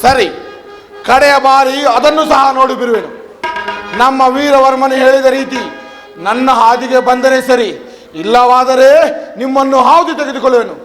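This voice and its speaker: A menacing usurper in a mythological stage drama, pitched extremely high. He declaims his threats imperiously in a reverberant hall, with clear music behind him. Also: steady tone, moderate pace, long pauses, a bit bright.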